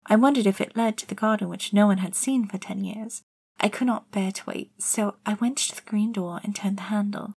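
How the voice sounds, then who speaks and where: A woman voicing a lonely, curious young girl, narrating her own story in the first person with a soft, hushed delivery. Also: medium pitch, steady tone, brisk, gravelly, breathy edge. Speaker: narrator and lead actor in an audio drama.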